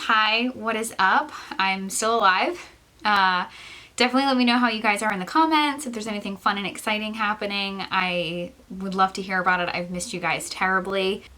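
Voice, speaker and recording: Young female vlogger, bright tone, nasal from congestion, slightly breathless, loose chatty delivery full of "like" and "um". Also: mid-range voice, slight background noise. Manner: chatty, rambling with frequent "like" and "um"; laughs often between phrases. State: stuffy, congested nose; short of breath. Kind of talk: casual chat to camera.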